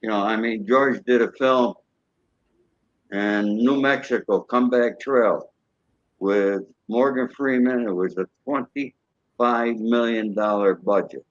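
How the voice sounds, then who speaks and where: A man's voice: on the low side, slow pace, no echo. A man guest in a casual interview, matter-of-fact and peppering his talk with "you know". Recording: dry, dull lo-fi audio.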